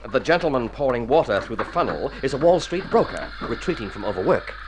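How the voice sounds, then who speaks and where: An actor playing an eccentric, pompous doctor who hems and haws in a radio comedy. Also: male voice, mid-range voice, sing-song, moderate pace, vocal fry, poor audio. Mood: pompous, distracted. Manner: hemming, pompous. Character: eccentric, hearty doctor prescribing odd rest cures. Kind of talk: mid-century radio comedy acting. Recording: old radio recording with background noise.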